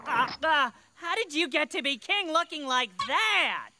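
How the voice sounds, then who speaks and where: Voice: high voice, expressive, measured pace, loud music behind the voice. A performer voicing a vain, scheming peacock in a cartoon, pompous and sly. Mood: sly evasion. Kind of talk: animated cartoon villain acting.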